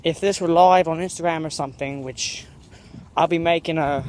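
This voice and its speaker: A man's voice: fairly high pitch, steady tone, moderate pace, darkish tone. A young American man hosting a rambling vlog in a mock British accent, walking outdoors around a school campus and filming on a phone. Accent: American speaker putting on a mock British accent. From United States. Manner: jokey and meandering, full of fillers and self-corrections. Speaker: vlogger host. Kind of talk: rambling walk-and-talk vlog.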